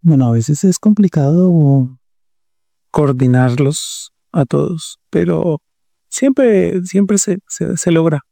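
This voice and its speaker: A man from Colombia, a TV producer answering interview questions in a relaxed, thoughtful way and repeating words as he searches for them. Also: fairly high pitch, slow pace, vocal fry, no echo, clean studio sound.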